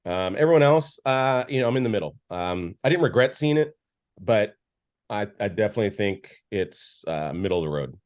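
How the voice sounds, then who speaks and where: A man with a fairly low voice chats casually on a podcast, reviewing films. He rambles, trips over his own restarts and fills the gaps with filler words. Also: very animated, normal speed. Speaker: podcast co-host, film reviewer. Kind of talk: casual chat.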